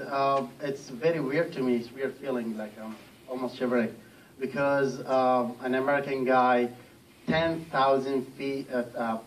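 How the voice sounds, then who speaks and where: Hesitant man from Iraq, off-mic. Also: mid-range voice. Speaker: audience member asking a question. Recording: distant mic with faint noise.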